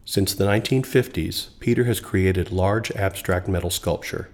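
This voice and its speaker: Male narrator, very deep voice, steady scripted read. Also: moderate pace. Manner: even, informative reading.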